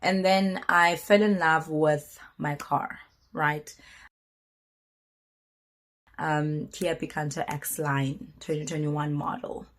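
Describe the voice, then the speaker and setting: A woman in her late twenties, husky from a cold, telling a candid personal story on her vlog in a chatty, hesitant way. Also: sits low, fairly even intonation, takes it slowly, long pauses. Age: late 20s. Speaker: vlogger.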